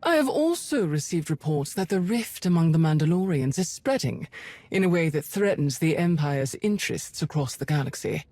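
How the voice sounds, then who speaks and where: An actress playing a cold, commanding spymaster of an evil empire in a video game, formal, measured and quietly threatening. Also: female voice, sits low, no echo. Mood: menacing. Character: cold, commanding Imperial spymaster, composed and menacing.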